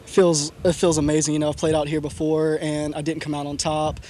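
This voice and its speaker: A man's voice: fairly high pitch, completely flat delivery, fairly quick, warm tone, a little hiss or hum. A twenty-year-old man, an amateur tennis player, speaking in a post-match interview.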